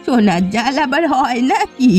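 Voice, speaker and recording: A woman's voice: high-pitched, sing-song, moderate pace, darkish tone, faint music behind. A woman voicing a stubborn, wary old fisherwoman in a cartoon village story, speaking firmly and defiantly. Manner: firm, defiant. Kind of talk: Bengali cartoon story voice acting.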